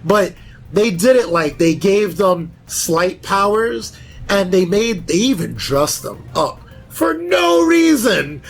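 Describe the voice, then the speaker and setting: A man's voice: high-pitched, creaky, a bit bright. A man in casual group conversation, giving opinions emphatically and repeating himself to make a point. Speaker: panelist at a fan round table.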